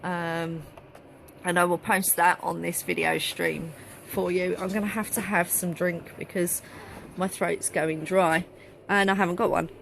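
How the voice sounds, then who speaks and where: A woman, chatting casually on a live phone stream from a craft studio, slightly hoarse and rambling with many fillers. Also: on the low side, level tone, measured pace, fairly bright tone, a little hiss or hum. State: slightly hoarse, losing her voice. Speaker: craft group host. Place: cluttered craft studio, indoors. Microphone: phone held at arm's length.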